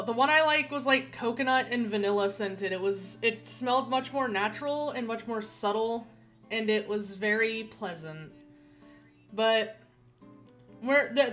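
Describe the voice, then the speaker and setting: A woman streaming a game, chatting casually and rambling with many restarts, her voice a little nasal and rough. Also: medium pitch, fairly bright tone. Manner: rambling, chatty, self-correcting with frequent repeats. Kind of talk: casual gaming stream chat.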